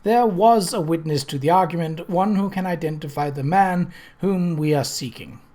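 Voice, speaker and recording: A reader plays a plain-spoken police constable in a novel. He gives evidence carefully, with formal deference and a middling pitch. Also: moderate pace, dark tone, natural voice. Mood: respectful, firm.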